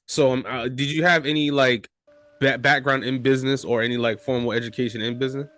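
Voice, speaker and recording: A man's voice: medium pitch, steady tone, fairly quick, a bit bright. A man hosting a casual podcast interview, talking loosely with many restarts and fillers. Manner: loose, restarts often, lots of "you know". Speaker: podcast host.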